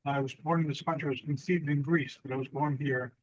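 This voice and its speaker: A male host with a fairly high voice, recorded close and dry with no room sound. He speaks in a measured, reflective way, with an occasional "uh" between thoughts. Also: fairly even intonation, no room sound, rough recording. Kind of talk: interview hosting.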